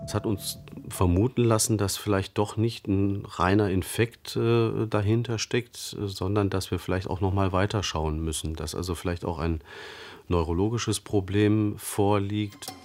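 A man explaining findings as a doctor in an interview, measured and pausing mid-sentence. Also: on the low side, darkish tone, faint music behind.

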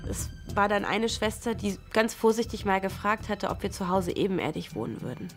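A woman's voice: mid-range voice, lively intonation, brisk, music in the background. A young adult woman, a mother, recalling her experience in a documentary interview. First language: German. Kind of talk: personal documentary interview recollection.

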